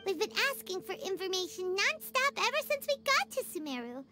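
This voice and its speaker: A tiny, excitable fairy sidekick from a video game, with a squeaky, very high child's voice that swoops up and down. Music plays prominently behind the childlike, exclaiming delivery. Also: female voice, brisk. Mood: frustrated. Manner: excitable, exclaiming, speaks of self in third person. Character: small childlike female fairy companion, cheerful, excitable, indignant. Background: background music.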